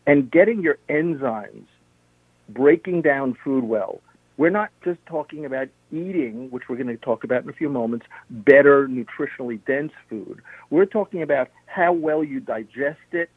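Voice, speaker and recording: A man's voice: mid-range voice, animated, moderate pace, breathy edge, no room sound. A man on a radio interview, steadily explaining health tips and listing points one by one. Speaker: health practitioner guest. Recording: narrow phone-line sound.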